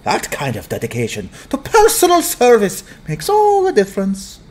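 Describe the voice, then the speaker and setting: A man's voice: sits high, huge pitch swings, normal speed, exaggerated voice. A man voicing a slick, fatherly sports agent and hustler in a dramatized fantasy audiobook, genial and wheedling. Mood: genial, smooth. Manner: genial, wheedling, calls listeners "son".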